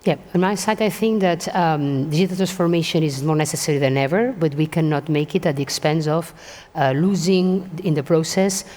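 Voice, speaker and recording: Deep-voiced woman speaking earnestly. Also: brisk, few pauses, a little mellow. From Spain. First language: Spanish. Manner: earnest, repeats words as she finds them. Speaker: government vice-minister on a panel. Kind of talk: panel discussion. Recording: reverberant conference hall.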